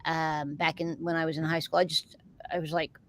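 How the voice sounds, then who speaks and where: A woman's voice: low voice, flat delivery, fairly quick, fairly bright tone. A middle-aged woman with a Texan accent, hosting a casual chat with viewers in a rambling, chatty way and laughing often. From Texas. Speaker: host of a live chat show.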